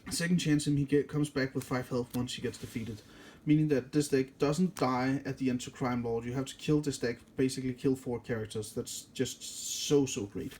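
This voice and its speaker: A man's voice: mid-range voice, steady tone, normal speed, a little mellow, slight background noise. A man with a Danish accent, a native Danish speaker, casually walking through a card game deck, rambling and correcting himself often. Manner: rambling, self-correcting, many fillers.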